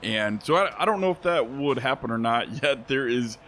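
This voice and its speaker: Young man, hoarse, mid-pitched, rambling gameplay talk, faint background noise. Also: lively intonation, normal speed, fairly bright tone. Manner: rambling, self-correcting, chuckles often. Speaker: let's play host. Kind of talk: casual gameplay commentary. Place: home spare bedroom studio.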